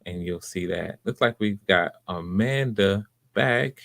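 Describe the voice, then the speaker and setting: A man hosting a live audition stream in a casual, halting way, often repeating words as he thinks aloud. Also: deep voice, vocal fry, fairly bright tone. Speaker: host running a live voice audition.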